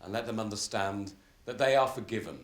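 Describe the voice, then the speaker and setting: An actor playing a solemn, kindly vicar leading prayer in a measured, earnest way. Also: male voice, on the low side, close to an everyday voice. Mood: solemn, gentle. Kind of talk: public prayer in a TV drama. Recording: echoey church-like room.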